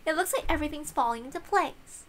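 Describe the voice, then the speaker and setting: Woman as smug, high-voiced girl detective. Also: animated, fast.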